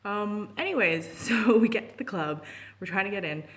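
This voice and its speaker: Young woman, Canadian accent, medium pitch, casual storyteller, informal vlog delivery. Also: normal speed. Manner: animated when acting out dialogue, informal. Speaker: storytelling vlogger.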